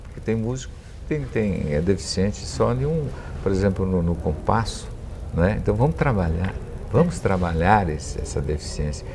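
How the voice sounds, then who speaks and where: A man's voice: deep voice, sing-song, normal speed, noisy background. A man with a Brazilian accent hosting a conversational interview. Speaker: interviewer, host.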